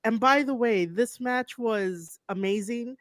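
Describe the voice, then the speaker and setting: A woman hosting a wrestling review podcast in relaxed, casual chat, with lots of fillers. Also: fairly high pitch, takes it slowly, no echo, studio quality. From Puerto Rican heritage. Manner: relaxed, filler-heavy, easygoing. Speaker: podcast host.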